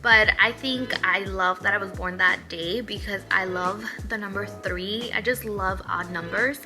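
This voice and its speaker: A woman's voice: medium pitch, steady tone, a little slow, music in the background. Chatty woman in her twenties. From Mexico. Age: 20s. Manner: chatty, rambling, upbeat. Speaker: hairstylist vlogger. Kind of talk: casual Q&A vlog.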